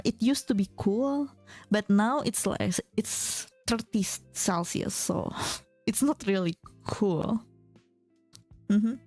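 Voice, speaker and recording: A young woman streamer chatting casually with her viewers, often restarting her sentences and filling pauses with "like". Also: mid-range voice, a little mellow. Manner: chatty, many fillers and restarts, reads chat aloud. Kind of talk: casual chat with chat readers. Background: faint background music.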